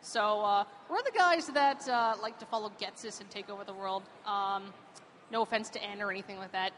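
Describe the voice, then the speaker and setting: A woman's voice: medium pitch, fairly quick, a bit bright, a little hiss or hum. A woman from Pittsburgh, a cosplayer, chatting enthusiastically in an event interview with many fillers. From Pittsburgh, USA. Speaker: cosplayer guest.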